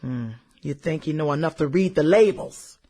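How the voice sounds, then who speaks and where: An actress playing a brooding, vengeful woman musing over old classmates in a radio drama, hesitant and husky. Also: low-pitched, normal speed, dark tone. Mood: cold, smug. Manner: musing, hesitant, chuckles and hums between thoughts. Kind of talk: radio drama acting, conversational monologue.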